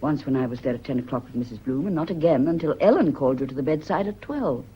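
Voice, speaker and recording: A woman's voice: sits low, expressive, fast talker, husky, heavily acted. An actress playing an anxious, suspicious woman, intense and probing, in an old-fashioned British radio drama style. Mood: suspicious, insistent. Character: anxious, suspicious woman questioning her secret lover. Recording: dull, noisy old radio recording.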